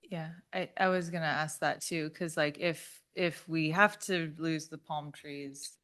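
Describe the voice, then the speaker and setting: A woman with a low voice and a flat, even delivery. She sits on a planning commission and asks practical, conversational questions at a public meeting. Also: measured pace.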